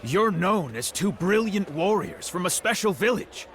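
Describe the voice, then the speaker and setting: A man's voice: high voice, expressive, measured pace, a bit bright, a little hiss or hum, heavily acted. An actor playing a polite, gossipy innkeeper who is eager to serve his customers. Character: friendly, chatty male innkeeper. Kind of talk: game cutscene voice acting.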